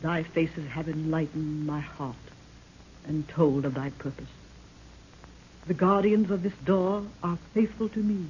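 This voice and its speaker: A woman's voice: low-pitched, unhurried, darkish tone. A woman acting a regal African queen in an old-time radio serial, with archaic, declamatory, solemn speech. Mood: grave, stately. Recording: muffled, noisy old radio recording.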